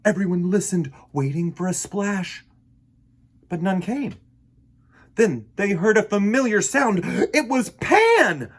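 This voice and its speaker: A man hosting a children's storytime, reading and talking in a theatrical, expressive way with dramatic pauses. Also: high-pitched. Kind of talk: animated storybook narration for children.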